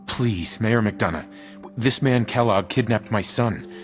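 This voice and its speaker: Casting: man as determined father hero in a game, earnest, fairly deep voice over clear music. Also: measured pace, natural voice. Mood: pleading. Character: determined father searching for his kidnapped son, game hero. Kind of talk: video game voice acting.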